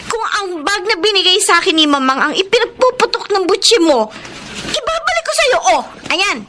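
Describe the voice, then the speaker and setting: An actress playing a long-suffering young sister-in-law in a Filipino radio drama, pleading and respectful yet defiant. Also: female voice, very high-pitched, very animated, normal speed, background noise. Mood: hurt, defiant.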